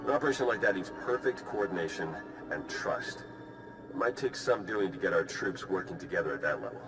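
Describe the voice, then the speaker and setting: An actor playing an earnest, skeptical agent in a science fiction game cutscene. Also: male voice, deep voice, expressive, a little fast, over music, poor audio. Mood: serious. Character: earnest, skeptical male agent in a space war. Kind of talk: video game cutscene acting.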